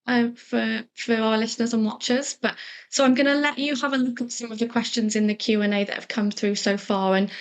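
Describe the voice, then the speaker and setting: A woman's voice: fairly high pitch, fairly even intonation, brisk. A woman moderating a webinar over a video call, speaking politely with hesitations and fillers. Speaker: webinar host.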